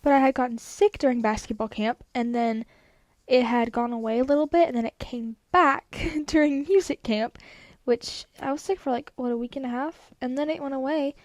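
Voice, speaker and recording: A woman's voice: sits high, moderate pace, darkish tone, dry recording. A teenage girl telling a story in casual chat, rambling with lots of "like", slightly congested from a cold. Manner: rambling, many "like" fillers. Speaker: vlogger.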